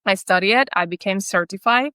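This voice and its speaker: A woman with a Puerto Rican accent, Spanish her first language, talks earnestly and at length as a podcast guest. Her voice is smooth and resonant, recorded dry and close. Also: medium pitch, brisk, bright, forceful tone, no echo. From Puerto Rico. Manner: earnest, storytelling. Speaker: guest chiropractor. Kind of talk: podcast interview.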